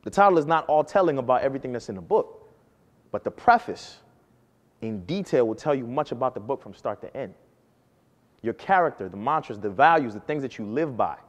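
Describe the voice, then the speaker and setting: Brief: young man, early 20s, earnest stage speaker telling a personal story, emphatic swells, mid-range voice, long hall reverb. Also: medium pitch. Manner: earnest, emphatic, rises and falls for effect. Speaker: speaker giving a talk to an audience.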